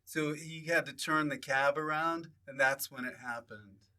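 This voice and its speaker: Sharp, higher-pitched man joking loosely. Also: a little slow. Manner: rambling, joking, irreverent. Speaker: podcast host, comedian. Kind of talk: casual comedic chat.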